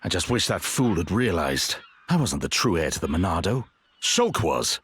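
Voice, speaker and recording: A man voicing a grave, honourable, regretful warrior in a video game, earnest and measured. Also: low-pitched, very animated, a bit bright, slight background noise. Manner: earnest, measured, formal, brooding. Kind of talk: dramatic video game voice acting.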